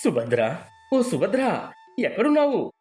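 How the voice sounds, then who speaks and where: A man's voice: high-pitched, wildly swooping pitch, unhurried, darkish tone, faint music behind, full character voice. A man playing an honest, humble poor gardener in a moral story, earnest and deferential. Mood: excited, relieved. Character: humble, honest poor male gardener, tearful, devoted husband. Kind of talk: dramatised moral storybook dialogue.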